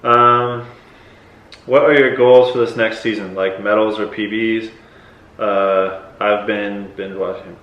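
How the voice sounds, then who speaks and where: A man's voice: fairly low pitch, steady tone, slow, muffled recording. A young man hosting a casual question-and-answer vlog, talking loosely with lots of fillers. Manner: loose, hesitant, many fillers. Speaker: vlogger host and decathlete. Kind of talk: casual Q&A chat. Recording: echoey with faint noise.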